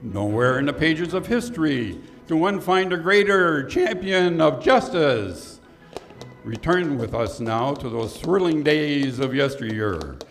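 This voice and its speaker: A man's voice: a little high, lively intonation, unhurried, faint music behind. A man playing a dramatic, declamatory announcer narrating a 1950s-style Western radio serial. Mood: rousing.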